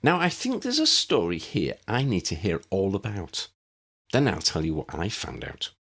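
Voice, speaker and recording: A man's voice: low voice, big pitch swings, normal speed, a bit bright, breathy edge, heavily acted. A man voicing a serious, caring and clever woman in an audiobook. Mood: brisk. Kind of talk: audiobook character dialogue.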